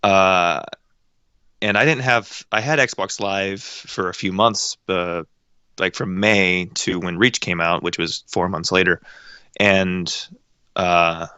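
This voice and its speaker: Young man, late teens, very deep voice, crisp, hesitant, modest, dry close recording. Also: fairly even intonation, slow pace, bright, forceful tone, no echo, lo-fi sound. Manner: hesitant, modest, many ums. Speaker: guest voice actor and director. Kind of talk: casual interview chat.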